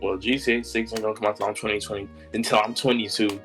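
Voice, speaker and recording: A man's voice: on the low side, flat delivery, quick pace, a bit bright, soft background music. An eighteen-year-old man co-hosting a podcast, talking in a blunt, slangy, laid-back way. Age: teens. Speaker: podcast co-host. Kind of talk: casual chat.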